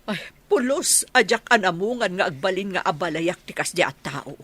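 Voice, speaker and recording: A woman's voice: lowish voice, animated, moderate pace, a bit bright, breathy edge. A woman playing an elderly village mother, affectionate but aggrieved, in an Ilocano radio drama, with an emphatic motherly manner. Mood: indignant.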